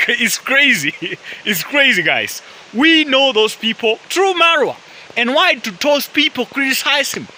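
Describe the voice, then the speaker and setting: Swahili-native male vlogger, rambling and filler-heavy, keeps saying guys, sharp edge to the voice. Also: extremely high pitch, very animated, a little slow, slight background noise, rough recording. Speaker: YouTube vlogger. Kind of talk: casual talk to camera. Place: outdoors in a forest by a river.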